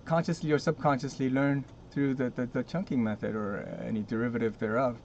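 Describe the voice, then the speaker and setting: A man's voice: medium pitch, steady tone, brisk, darkish tone. A man hosting an interview, talking casually with many fillers and restarts. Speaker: interview host. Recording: dull lo-fi line with faint noise.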